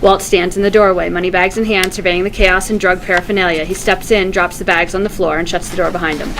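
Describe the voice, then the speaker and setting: A woman's voice: on the low side, steady tone, quick pace, background noise. A woman reading stage directions from a screenplay as scripted narration.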